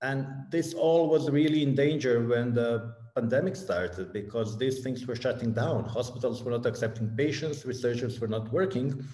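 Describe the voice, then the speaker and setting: A man's voice: mid-range voice, moderate pace, hardly any pauses, mellow. A man in his fifties with a Croatian accent explaining things as a panelist on a video call from a plain office. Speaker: biotech company leader, webinar panelist. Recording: echoey room over video call.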